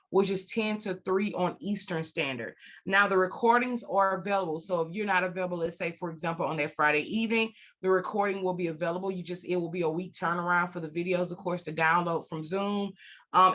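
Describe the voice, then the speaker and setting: A woman's voice: lowish voice, fairly bright tone. A woman speaking as an interview guest, a consultant and trainer explaining and promoting her services over a video call.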